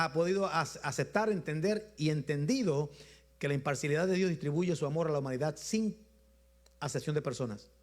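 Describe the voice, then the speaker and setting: A man with a Puerto Rican accent preaching a Sunday sermon in a rhythmic style, repeating phrases and letting his voice drop low at the end of each point. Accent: Puerto Rican Spanish. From Puerto Rico. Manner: rhythmic repetition, drops low at phrase ends, slows for emphasis. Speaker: bishop preaching.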